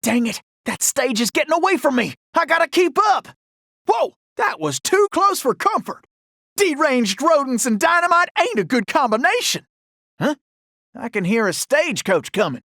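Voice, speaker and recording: High, excitable Southern cowboy critter. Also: male voice, no room sound, studio quality, heavily acted. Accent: American Southern cowboy drawl. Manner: folksy, excitable, exclamatory cowboy slang. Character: cocky, folksy cartoon Old West raccoon gunslinger, male. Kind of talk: animated video game character acting.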